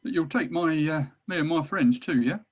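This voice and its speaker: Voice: mid-range voice, fairly quick, husky, lightly acted. A dull, lo-fi recording of an actor playing a cowardly male orc captain in a fantasy comedy read. He plots in an urgent, conspiratorial way, exasperated with those around him. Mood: desperate. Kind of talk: table read acting.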